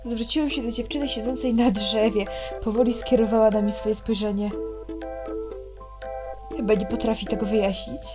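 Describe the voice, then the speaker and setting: Female game narrator, highish voice, teasing commentary, clear music behind. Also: steady tone, moderate pace, long pauses. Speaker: let's player reading a visual novel aloud. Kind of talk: expressive reading aloud with commentary.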